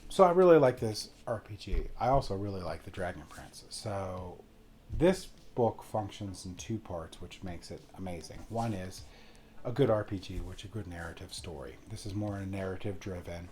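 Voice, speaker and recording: A man's voice: deep voice, lively intonation, moderate pace, a little mellow. A man giving a casual, rambling, enthusiastic review as he explains a game rulebook. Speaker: tabletop game reviewer, host.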